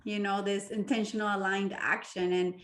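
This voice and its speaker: A woman's voice: mid-range voice, flat delivery, moderate pace, echoey room. A woman hosting an interview, enthusiastic and laughing often; her first language is Spanish. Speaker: interview host. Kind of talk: casual interview chat.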